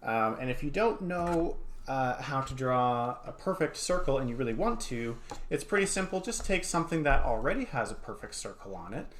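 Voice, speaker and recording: A man with an average-pitched voice leads a craft lesson as an art educator. He explains each step casually and wanders into small informal asides. There is some light background noise with clicks in the recording. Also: big pitch swings, moderate pace, fairly bright tone. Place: home studio.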